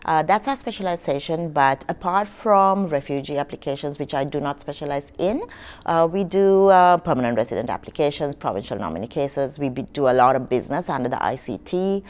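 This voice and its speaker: A woman's voice: sits low, lively intonation, fairly quick, a little mellow. A middle-aged woman from Sri Lanka, an immigration consultant answering interview questions with many hesitations and fillers.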